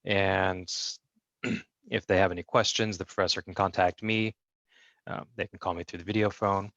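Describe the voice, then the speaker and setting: A man voices a deaf guest's answers in the first person over a video call, his speech measured and full of fillers and restarts. His voice sits a little low. The audio is dry and muffled. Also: fairly even intonation, fairly bright tone, dry recording. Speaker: sign language interpreter voicing a deaf guest. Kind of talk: first-person interpreting in an interview.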